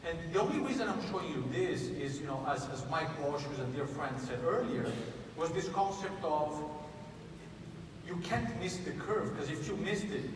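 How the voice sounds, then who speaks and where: A man giving a conference keynote, talking in a conversational, energetic pitch with frequent restarts, in a large conference room. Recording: echoey hall from far off, noisy.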